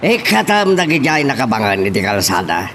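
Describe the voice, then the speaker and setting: Animated, commanding town mayor played by a man. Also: medium pitch, fairly quick, a little hiss or hum. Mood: commanding, irritated. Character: commanding, impatient town mayor giving orders. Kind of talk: Ilocano radio-style drama acting.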